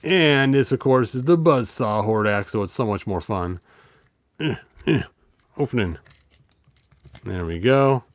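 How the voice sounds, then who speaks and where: Guy reading a kids book out loud w goofy offhand comments, regular pitch, really dry audio. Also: animated, unhurried, long pauses, no room sound. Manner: playful, rambling, tosses in sound effects and wry remarks. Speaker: host of a toy and storybook show. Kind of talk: read-aloud story with casual asides.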